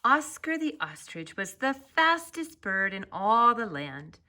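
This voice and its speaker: A woman narrating a children's story as its author, reading expressively and deliberately. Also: medium pitch. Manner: expressive, deliberate, drawn-out phrase endings.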